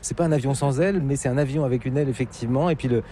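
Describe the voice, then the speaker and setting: A man hosting a radio travel show, narrating the route and leading an interview in a lively, playful way. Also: medium pitch, racing, a little hiss or hum.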